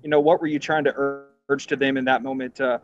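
A man's voice: medium pitch, flat delivery, fairly quick, soft background music. A man, a TV sports reporter, politely asking questions on a video call with many fillers. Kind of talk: press conference questions. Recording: dull lo-fi call audio with hiss.

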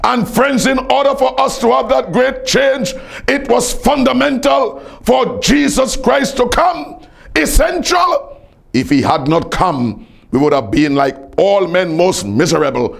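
A man's voice: very high voice, expressive, unhurried, creaky. A man preaching a fervent evangelistic sermon in an emphatic, declamatory way, repeating phrases for effect.

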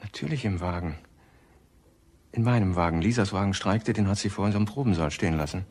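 An actor playing an uneasy, evasive suspect in a crime radio drama, hesitant and guarded. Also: male voice, low-pitched, a little fast, a little mellow. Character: evasive, uneasy man, mother's boy under suspicion.

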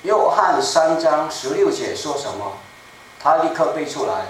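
An older man in his seventies delivers a sermon in a small church, taking his time and hammering home each point by repeating it. His voice sits a little high for a man. He is picked up from across the room, with heavy echo and a faint hiss behind him. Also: sing-song. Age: 70s. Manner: deliberate, slow and emphatic, repeating key phrases. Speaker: preacher. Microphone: room mic from a far camera. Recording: echoey room, distant mic, faint noise.

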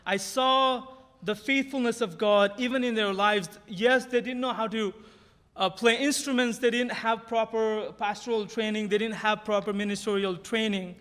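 A man's voice: high-pitched, steady tone, moderate pace, fairly bright tone. A man, giving an earnest personal testimony at a church pulpit with light humor. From Nepal. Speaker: guest speaker at church. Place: large church sanctuary stage. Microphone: podium microphone. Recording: reverberant sanctuary sound.

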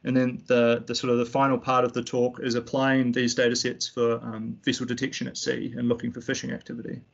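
Relaxed Kiwi man on a call. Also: mid-range voice, brisk, vocal fry, muffled recording. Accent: New Zealand. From New Zealand. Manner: relaxed, with frequent ums and restarts. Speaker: fisheries analyst giving a tutorial. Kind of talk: online slide presentation. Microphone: computer mic on a video call.

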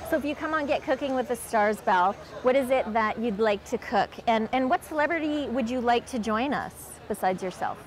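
A woman's voice: a little high, fairly quick, few pauses. A woman in her thirties hosting a TV cooking interview. She is bubbly and eager and keeps affirming her guest. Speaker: host. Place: food show floor, indoors. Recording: noisy expo hall.

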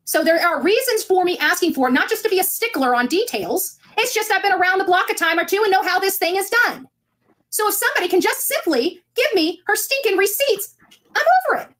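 A woman's voice: very high voice, animated, brisk, a bit bright. A middle-aged woman livestreaming an argumentative monologue in an insistent, combative manner.

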